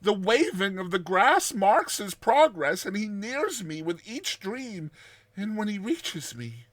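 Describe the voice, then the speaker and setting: A man's voice: high-pitched, very animated, slow, dry recording, pitched above the performer's own voice, heavily acted. A man playing a haunted, terrified man on the edge of madness in a dramatized horror story, speaking intensely and drawing out his words. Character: haunted, terrified man recounting a recurring nightmare, near madness.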